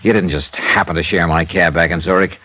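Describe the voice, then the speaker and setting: An actor playing a tough, suspicious American investigator in an old-time radio drama, with a clipped, wry, interrogating manner. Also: male voice, low voice, steady tone, a little fast, pushed and bright. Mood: stern, pressing. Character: tough, suspicious, sardonic male insurance investigator. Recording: dull, band-limited old radio recording.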